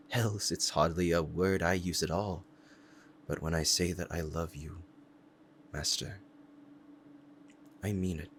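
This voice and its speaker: A man's voice: deep voice, a little hiss or hum. A man playing a powerful demon devoted to a beloved master, speaking formally and earnestly in a romantic ASMR roleplay. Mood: earnest devotion. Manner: formal archaic diction, earnest and reverent.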